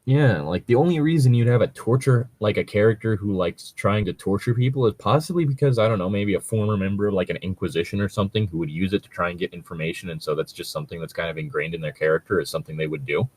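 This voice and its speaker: A man's voice: deep voice, fast, few pauses, a little mellow, no room sound. A man chatting casually and at length about tabletop role-playing, rambling and swearing often as a co-host. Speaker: co-host reading and discussing stories.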